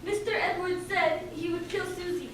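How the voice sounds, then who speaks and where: A woman's voice: extremely high pitch, steady tone, moderate pace. A woman acting as a frightened, guilt-ridden female accomplice in an amateur radio drama. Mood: frightened, pleading. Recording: very echoey hall, distant mic, faint noise.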